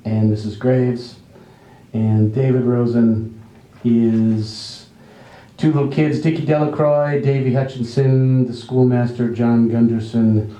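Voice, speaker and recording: A man's voice: mid-range voice, mellow. A man casually introducing a cast to an audience, unscripted, in a bumbling, good-humored way. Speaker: narrator and presenter introducing the cast. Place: indoor library event room. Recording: echoey room, faint background noise.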